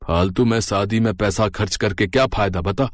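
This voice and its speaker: A man's voice: lowish voice, normal speed, dry recording, close to an everyday voice. A man voicing a skeptical, thrifty beggar father in a cartoon story.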